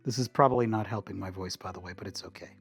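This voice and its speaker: A slightly hoarse man chatting casually with viewers while cooking in his home kitchen, rambling in an easygoing way and chuckling often. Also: lowish voice, fast, faint music behind. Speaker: streamer.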